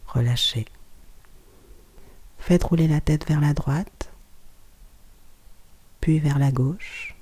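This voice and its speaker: Mellow, breathy relaxation guide. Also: mid-range voice. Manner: calm, soft-spoken, breathy near-whisper, short instructions with pauses. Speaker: yoga nidra guide. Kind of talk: guided relaxation meditation, scripted instructions.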